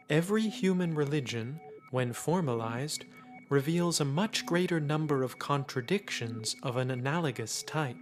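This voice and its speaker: A man giving a scripted reading of a mock-scholarly literary essay. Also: mid-range voice. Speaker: reader of a literary text.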